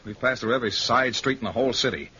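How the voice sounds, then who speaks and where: An actor with an American accent playing a skeptical writer sidekick in old radio horror, husky and theatrically exasperated. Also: male voice, mid-range voice, fast, bright, forceful tone, dull audio. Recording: band-limited vintage radio recording.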